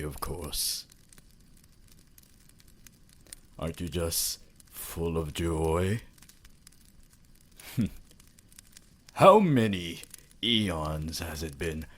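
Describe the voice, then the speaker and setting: Guy doing an old vampire lord for asmr, super slow, fancy and romantic, bit of noise behind. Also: deep voice. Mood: courtly, affectionate. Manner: formal, theatrical, grandly romantic. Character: ancient, courtly male vampire lord, devoted and romantic. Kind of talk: ASMR roleplay voice acting.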